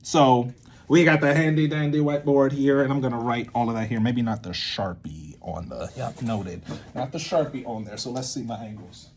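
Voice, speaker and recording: A man's voice: mid-range voice, moderate pace, a little mellow, a little hiss or hum. A man giving an informal whiteboard lesson, thinking aloud in a rambling way and often interrupting himself. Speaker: creative methods teacher and playwright.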